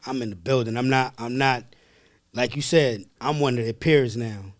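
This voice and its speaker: A man's voice: mid-range voice, moderate pace, no room sound. Slangy male interviewer, close dry sound. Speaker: interviewer, co-host. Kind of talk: casual interview chat.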